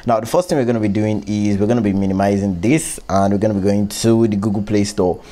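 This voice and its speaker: A man's voice: on the low side, fairly even intonation, quick pace, mellow. A man hosting a casual tech tutorial, informally explaining an app step by step. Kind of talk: casual screen-recorded app walkthrough. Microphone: close one-way microphone, voice-over.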